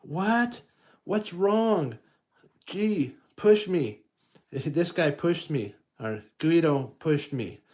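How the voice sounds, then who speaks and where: A man's voice: a little high, lively intonation, very slow, a little mellow, voice pushed up. A man doing a whiny little boy. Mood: upset. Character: young boy, eager and whiny son.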